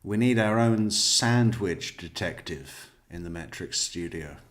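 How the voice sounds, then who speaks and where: Brief: low-voiced, slow-talking male commentator, sardonic and mocking. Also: expressive. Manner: sardonic, joking, swears. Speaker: true crime commentator. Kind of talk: casual commentary over an interrogation video.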